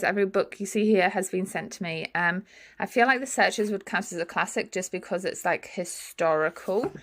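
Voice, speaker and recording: A young woman, chatting frankly to camera in a vlog with lots of fillers, her voice a bit croaky from being unwell. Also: mid-range voice. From New Zealand. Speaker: book vlogger. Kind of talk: casual chat to camera.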